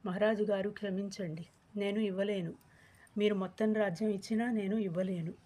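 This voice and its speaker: Woman voicing a husky, subdued humble villager. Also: mid-range voice, fairly even intonation, moderate pace, dark tone, close to an everyday voice. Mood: apologetic, firm. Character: humble, stubbornly devoted poor village man. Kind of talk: acted cartoon story dialogue.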